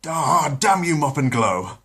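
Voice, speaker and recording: An imperious little baby mastermind speaks in a haughty, theatrical voice, as a man puts him on, pitched well above a deep natural voice. He sneers at everyone around him, and the delivery stays grand and cutting. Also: sing-song, slow, bright, forceful tone, exaggerated voice. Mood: indignant. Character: scheming, imperious male baby genius.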